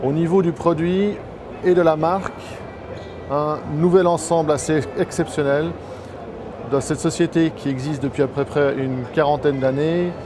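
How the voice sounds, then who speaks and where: A man's voice: fairly high pitch, steady tone, normal speed. A man giving an explanatory, promotional product presentation, as a distributor at a trade show stand. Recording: noisy trade show floor ambience.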